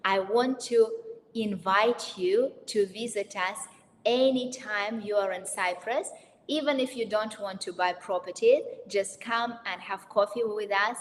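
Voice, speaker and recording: A woman with a Russian accent answering audience questions as a real estate consultant hosting an online webinar, speaking informally and directly to her listeners. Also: unhurried. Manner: addresses listeners directly, reads questions aloud then answers. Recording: reverberant hall-like room, faint rumbling noise.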